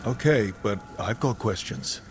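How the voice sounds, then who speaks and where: An actor playing a wry, easygoing fantasy adventurer in a video game, talking in a casual, plainspoken, questioning way. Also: male voice, on the low side, measured pace, slight background noise, lightly acted. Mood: curious. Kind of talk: video game dialogue acting.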